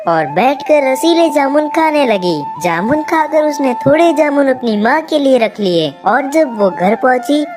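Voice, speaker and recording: A woman's voice: high-pitched, animated, unhurried, hardly any pauses. A woman narrating a scripted moral story for children as the storyteller. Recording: clear music behind the voice.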